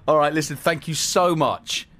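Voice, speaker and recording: A man's voice: a little high, very animated, takes it slowly, fairly bright tone, slight background noise. A man hosting a morning radio show, joking around, often laughing, and reading messages aloud in a radio studio. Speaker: radio host. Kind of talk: casual morning radio banter.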